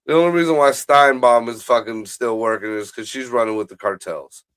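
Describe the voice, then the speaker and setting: An American man co-hosting a casual show, joking crudely and ranting in animated bursts. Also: mid-range voice, a little fast, smooth. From United States. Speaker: podcast co-host. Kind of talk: casual banter.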